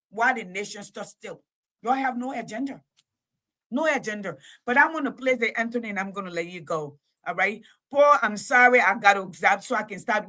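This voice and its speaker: A woman host speaks in a fairly high, bright and forceful voice. She talks with passion, repeating phrases and stretching out key words for weight. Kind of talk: impassioned talk-show monologue.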